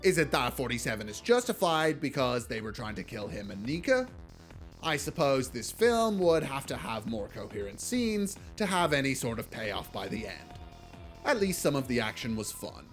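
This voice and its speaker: A man's voice: mid-range voice, very animated, normal speed. A man delivering scripted video essay commentary in a blunt, casually sardonic way. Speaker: video essayist reviewing films. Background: music.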